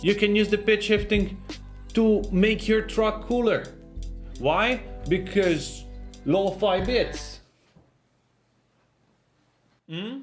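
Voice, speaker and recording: A man's voice: sits high, very slow, pauses often. A man who is a non-native English speaker, hosting an informal sound design tutorial in a joking, self-deprecating manner. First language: not English. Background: music.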